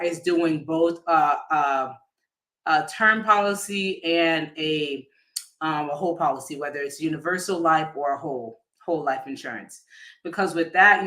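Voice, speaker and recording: A man giving an informal explanatory talk as an insurance agent, speaking casually and haltingly, often rephrasing mid-sentence. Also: on the high side, bright, forceful tone.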